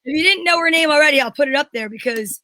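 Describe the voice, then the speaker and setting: A woman's voice: high voice, fast talker, background noise. A woman chatting casually and enthusiastically as co-host of a live online show.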